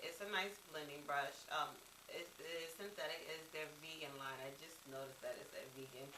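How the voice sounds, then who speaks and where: A woman's voice: lowish voice, sharp tone, roomy sound, recorded from a distance, slight background noise, poor audio. A woman reviewing makeup brushes in a casual, rambling way, with a slightly congested voice from a cold and many ums and restarts. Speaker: beauty vlogger.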